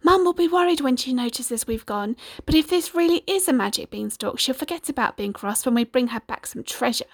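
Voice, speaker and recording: A woman voicing an eager, curious young boy in a storybook with a raised, bright voice. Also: sits high, expressive, brisk, few pauses, breathy edge, dry recording, clean studio sound, voice pushed up, exaggerated voice.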